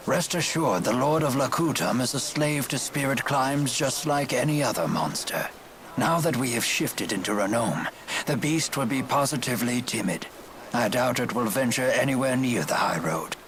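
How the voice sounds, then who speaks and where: A courtly older gentleman in a video game. His voice is medium-pitched, his phrasing formal and polite, and clear noise sits behind it. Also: animated, moderate pace. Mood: reassuring. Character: courtly, genteel, learned older gentleman advisor.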